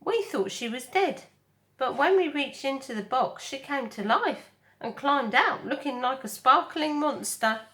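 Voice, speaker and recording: Storybook otter, played by a woman: big, sociable female, well-meaning and breezy, voice lifted high. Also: expressive, a little slow, bright, forceful tone, heavily acted. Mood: chatty. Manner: breezy, informal.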